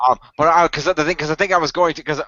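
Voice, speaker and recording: A man, a cast member, chatting casually, often stammering and restarting as he asks animated questions. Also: on the high side, rapid-fire. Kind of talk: casual podcast chat. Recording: dull lo-fi dry sound.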